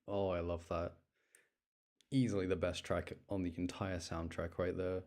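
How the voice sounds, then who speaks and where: A man's voice: low-pitched, flat delivery, normal speed, darkish tone. A man giving casual, exclamatory live commentary on a game and chatting with his audience. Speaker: streamer reacting to a game.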